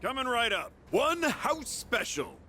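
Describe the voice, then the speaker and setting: A polite restaurant server in a game. His high, bright voice bounces widely in pitch, with faint music in the background. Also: sing-song, unhurried, full character voice. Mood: cheery service. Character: courteous, attentive male restaurant server. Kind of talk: video game voice acting.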